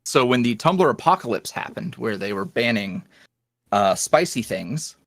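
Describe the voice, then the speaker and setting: A man is chatting casually as an interview guest. His pitch moves around with lots of animation while he rambles in a wry, self-deprecating way. The sound is dry, with little room on it. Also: lively intonation, moderate pace, creaky, no room sound. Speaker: podcast guest, ASMR roleplay creator.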